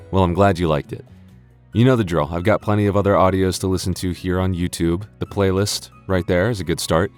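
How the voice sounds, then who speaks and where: A man playing an obsessive, sleepless conspiracy investigator in a comic outro skit as channel host, halting and excitable. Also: low voice, soft background music, close to an everyday voice. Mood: playful. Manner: excitable, halting, mock-urgent. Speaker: roleplay audio channel host. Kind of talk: comedic outro skit to listeners.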